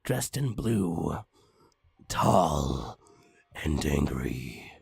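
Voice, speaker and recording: Raspy, theatrical man reading a comic horror story. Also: a bass voice, very animated, a little mellow, dry recording, studio quality. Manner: theatrical, mock-ominous with drawn-out phrases. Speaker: creepypasta reader. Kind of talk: dramatic comic story narration.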